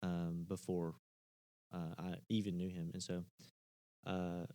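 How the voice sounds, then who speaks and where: A man's voice: low-pitched, completely flat delivery, takes it slowly, warm tone, no room sound. A man, a church member, talking earnestly in casual conversation, often stopping and restarting.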